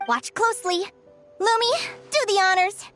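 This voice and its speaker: An actress playing a cheerful, determined young woman adventurer in a video game, earnest, perky and expressive. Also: very high-pitched, moderate pace, soft background music. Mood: excited.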